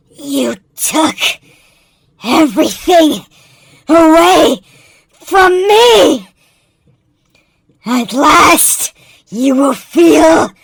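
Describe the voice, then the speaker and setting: A man voicing a grieving, vengeful rabbit creature in a horror story, with a strained, raspy voice and slow, anguished delivery. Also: very high voice, big pitch swings, fairly bright tone, no echo, clean studio sound, pitched above the performer's own voice, full character voice. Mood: furious anguish. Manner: anguished, slow, building to screams.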